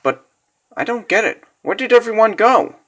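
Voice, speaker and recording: A man's voice: high voice, lively intonation, moderate pace, a bit bright, pitched above the performer's own voice. A man voicing an earnest, spirited young girl in a comic dub, with emotional, exclamatory delivery. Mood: confused.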